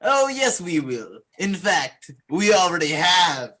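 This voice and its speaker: A man's voice: high-pitched, sing-song, slow pace, sharp tone, heavily acted. A man in an improv show playing a Russian spy villain who threatens people with a hammy, theatrical delivery. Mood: gloating. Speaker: improv performer. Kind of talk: improv comedy scene.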